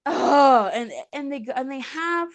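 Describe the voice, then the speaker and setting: A woman's voice: sits high, lively intonation, unhurried. A woman giving her opinions in a casual discussion, often repeating words and restarting her sentences.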